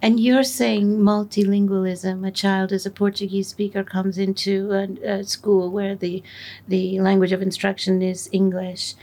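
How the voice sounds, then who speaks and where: A woman interviewer reflecting and asking questions in a thoughtful, halting way, often restarting her sentences. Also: medium pitch, flat delivery, mellow.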